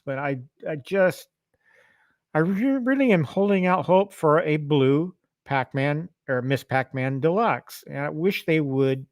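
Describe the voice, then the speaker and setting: A man's voice: on the high side, no room sound, studio quality. A middle-aged man hosting a casual livestream from his home arcade room, rambling with many restarts. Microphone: lavalier mic.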